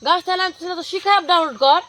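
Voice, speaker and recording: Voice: very high-pitched, racing. A performer playing a pushy young villager in an amateur comedy skit, insistent and repetitive. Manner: insistent, repetitive, loudly dismissive. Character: pushy young man hawking an app as cure-all.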